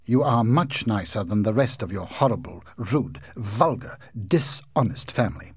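A man's voice: lowish voice, lively intonation, moderate pace, husky, dry recording, full character voice. A man voicing a proud, self-pitying old male ghost who speaks pompously and theatrically.

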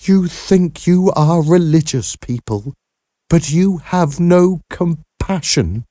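A man's voice: on the high side, expressive, slow, dark tone, no echo, studio quality, pitched above the performer's own voice. A man acts out a grieving young mother in an audiobook tale. She pleads with desperate emotion and bitterness, in a raised, swooping voice. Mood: bitter. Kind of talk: audiobook character voice.

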